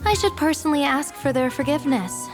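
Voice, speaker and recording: A woman's voice: high voice, expressive, fast talker, music in the background. A woman playing a gracious, knowing general in a video game, playful and self-assured. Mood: knowing, amused. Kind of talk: video game story dialogue.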